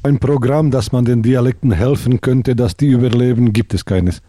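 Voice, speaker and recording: A man hosting a radio interview, asking calm, measured questions. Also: medium pitch, no room sound.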